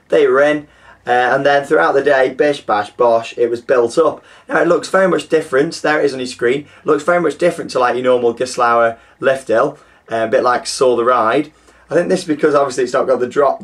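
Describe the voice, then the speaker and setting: A young man hosting a theme park news show, his voice groggy and hoarse, chatting enthusiastically with plenty of fillers. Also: mid-range voice. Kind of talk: casual scripted news presenting.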